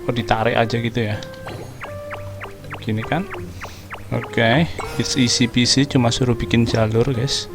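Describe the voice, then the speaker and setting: A man's voice: medium pitch, steady tone, moderate pace, long pauses. A young Indonesian man giving casual, slangy gameplay commentary as a streamer. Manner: informal, slangy. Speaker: gaming streamer. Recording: game music behind the voice. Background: game music.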